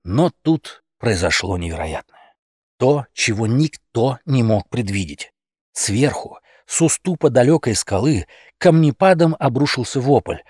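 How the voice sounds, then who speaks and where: A male narrator reads a humorous fairy tale with theatrical expression. His voice is medium in pitch and a little rough and husky. The sound is dry, with no room around it. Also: very animated, measured pace, darkish tone, no echo. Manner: theatrical, dramatic storytelling. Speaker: audiobook narrator.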